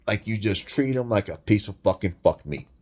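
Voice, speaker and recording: A man with a deep voice delivering a blunt, crude, rambling monologue rant full of swearing. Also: lively intonation, brisk, darkish tone. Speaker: vlogger.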